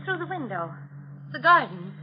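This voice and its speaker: An actress in a 1940s radio drama playing an anxious, devoted wife, gentle and fretful, with a hesitant manner. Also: female voice, high-pitched, sing-song, normal speed. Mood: uneasy. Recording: muffled, hissy old radio recording.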